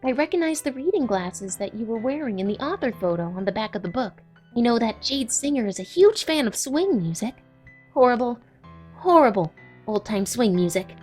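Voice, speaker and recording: A woman's voice: fairly high pitch, big pitch swings, normal speed, darkish tone, faint music behind. A woman voicing an eager, bookish young female cartoon pony with an earnest, wordy, apologetic manner in a comic dub. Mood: confident, earnest.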